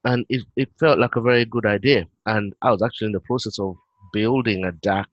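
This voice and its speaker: A British man on a video call, explaining his work in a relaxed way with frequent fillers. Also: fairly low pitch, brisk, no echo, dull audio. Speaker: guest, head of operations.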